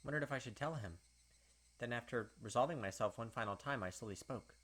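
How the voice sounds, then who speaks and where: A man's voice: medium pitch, a little fast, fairly bright tone. A man reading visual novel narration aloud as a let's player, breaking off for casual asides.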